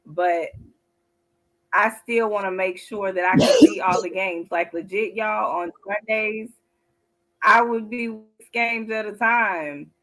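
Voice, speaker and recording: Woman, sports talk co-host, chatty, laughing, average pitch. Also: a bit bright, poor audio. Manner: chatty, laughs often, drawn-out asides. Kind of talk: casual sports talk show chat.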